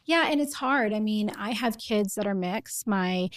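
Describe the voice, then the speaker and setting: A middle-aged Iranian-born woman whose first language is Farsi, telling her life story as a podcast guest in a thoughtful, unhurried way. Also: medium pitch, no echo, clean studio sound. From Iran. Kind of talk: interview storytelling.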